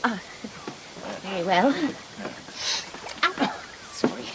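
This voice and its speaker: A woman's voice: mid-range voice, big pitch swings, very slow. An actress playing a prim, proper missionary woman, speaking formally and politely, sometimes flustered. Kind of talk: radio drama acting. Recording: noisy recording with audible hiss.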